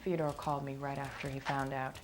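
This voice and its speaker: Actress as sensible female narrator of family troubles, very low register, conversational, noisy recording. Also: little pitch movement, brisk. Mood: matter-of-fact. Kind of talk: conversational storytelling monologue.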